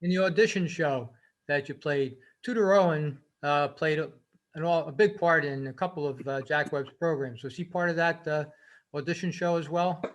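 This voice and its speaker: A man's voice: a little high, normal speed. A man on a video call asking an informal question, restarting his phrases as he goes. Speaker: club member asking a question.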